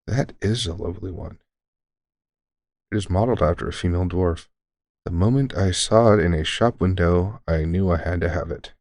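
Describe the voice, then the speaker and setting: A man playing a deranged centuries-old elf shopkeeper who is courteous, formal and unsettlingly calm, in an audio roleplay. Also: low voice, moderate pace, no echo, studio quality. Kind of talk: scripted audio roleplay addressing the listener.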